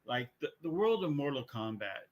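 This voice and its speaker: A man's voice: medium pitch, very animated, normal speed, darkish tone. A man hosting a casual panel podcast, speaking emphatically and repeating words as he builds a point. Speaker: podcast host.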